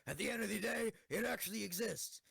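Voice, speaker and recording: Man playing a gravelly, high-pitched, pushy student leader. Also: fast, fairly bright tone, exaggerated voice. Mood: brusque, persuasive. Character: brusque, pushy student council schoolgirl. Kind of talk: amateur anime-style audio drama acting.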